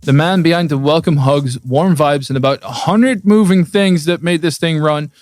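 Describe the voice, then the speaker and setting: A man's voice: a little high, moderate pace, a little mellow, no room sound, clean studio sound. A man co-hosting a podcast, presenting the episode intro in an upbeat way. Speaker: podcast co-host, Scrum trainer.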